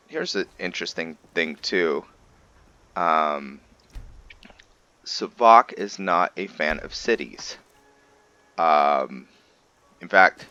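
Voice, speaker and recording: A man's voice: sits low, creaky, sharp tone. A man hosting a video game roleplay, giving casual, informal commentary and explaining things as he plays.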